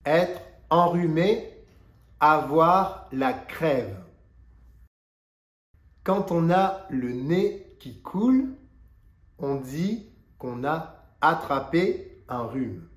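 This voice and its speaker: A man in his forties from France with a stuffy nose from a cold, teaching a language lesson to camera slowly and deliberately. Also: a little high, expressive, echoey room. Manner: slow, deliberate teaching delivery. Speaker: French teacher. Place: living room indoors. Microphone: lapel mic.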